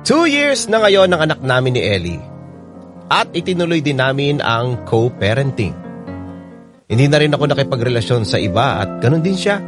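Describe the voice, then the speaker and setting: A man acts as a contented parent narrating a heartfelt letter for radio drama. His voice sits mid-range with lively rises and falls, over loud piano music. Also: medium pitch, moderate pace, close to an everyday voice. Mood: contented, grateful. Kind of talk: scripted first-person radio drama narration. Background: prominent piano music.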